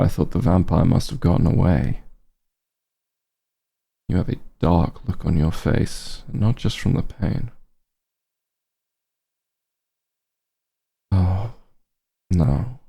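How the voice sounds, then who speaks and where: Man acting as caring back-room doctor, ASMR roleplay, very mellow and dark, gravelly, slow, reassuring. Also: full character voice. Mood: concerned, sympathetic. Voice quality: husky, low, gravelly. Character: gentle, concerned back-room doctor, an old friend.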